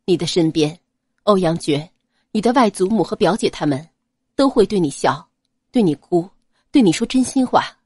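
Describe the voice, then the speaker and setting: A gentle young prince in an audio novel speaks with quiet earnestness, voiced by a woman who lowers her tone for him. His voice sits lower than the narration and has a lively rise and fall. The recording is dry and close. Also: normal speed, husky, dry recording. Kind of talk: audiobook character voice.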